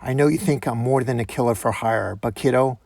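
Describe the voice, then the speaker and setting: A man voicing an aging, vengeful male assassin in a comic-book reading, gravelly, husky, brooding and anguished. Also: mid-range voice, fairly quick, darkish tone, dry recording, lightly acted. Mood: brooding grief.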